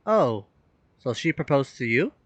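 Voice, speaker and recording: Mid-pitched man reading game dialogue aloud. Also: sing-song, a little slow, no room sound. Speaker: gaming video creator.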